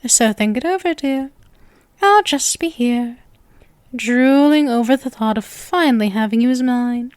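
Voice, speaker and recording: A woman's voice: fairly high pitch, a little slow, a little mellow, smooth, dry recording, natural voice. A woman playing a sweet, possessive, lovestruck young witch in an ASMR roleplay, coy and teasing as she addresses the listener. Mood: sweetly possessive. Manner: coy, teasing, sugary with menacing devotion.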